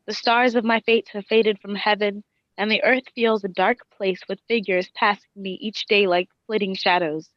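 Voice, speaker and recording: A dull, dry recording of a woman acting a bereaved wife in a radio play. She is haunted and anguished, delivering poetic lines theatrically at a middling pitch. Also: fairly even intonation, moderate pace, no echo, close to an everyday voice. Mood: mournful. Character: grief-stricken wife haunted by her lost spouse. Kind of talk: dramatic radio play monologue.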